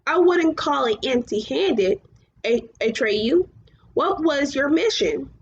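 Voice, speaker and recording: A woman voicing a gentle, earnest male luck dragon in a storybook, coaxing his companion. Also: high-pitched, expressive, takes it slowly, exaggerated voice. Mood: gently questioning. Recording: muffled, clearly noisy home recording.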